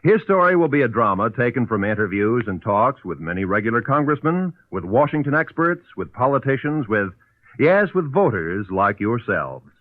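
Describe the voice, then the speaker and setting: Casting: male American network announcer, 1940s style, animated and emphatic, dry, narrow phone-like vintage recording. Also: medium pitch, normal speed, dry recording. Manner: emphatic, formal. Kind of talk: 1940s radio announcing, scripted promo.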